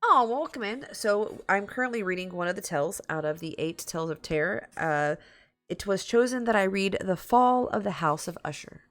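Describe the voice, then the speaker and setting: A woman livestream host reading aloud in a halting way, stumbling over long words. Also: medium pitch, animated, fairly bright tone, dry recording, faint music behind. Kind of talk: dramatic story reading with casual chat asides.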